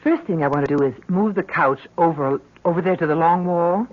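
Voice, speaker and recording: A woman playing a caring, anxious middle-aged wife and mother in a 1970s radio drama, fussing and gently chiding. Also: on the low side, a little fast, natural voice. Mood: polite, uneasy. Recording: muffled 1970s radio recording.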